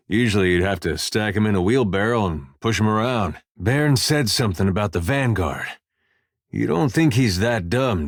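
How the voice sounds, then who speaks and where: An actor playing a laid-back, good-natured farmer turned adventurer in a video game, plain-spoken and folksy with dry, understated humor. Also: male voice, fairly low pitch, dry recording, natural voice. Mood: earnest, hesitant. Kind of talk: scripted video game dialogue.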